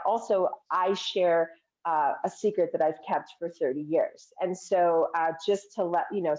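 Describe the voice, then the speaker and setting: A woman's voice: lowish voice, a little mellow. Woman, filmmaker guest, earnest, explanatory, slightly dull lo-fi sound. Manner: earnest, explanatory, some hesitations. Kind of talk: casual interview chat.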